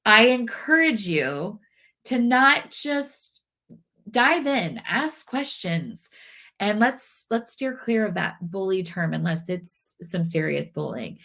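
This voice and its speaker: A woman's voice: mid-range voice, expressive, slow pace, pushed and bright. A middle-aged woman hosting a parenting podcast, a little nasal and congested from a cold, talking earnestly with many restarts and repeated phrases. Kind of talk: casual talk to camera.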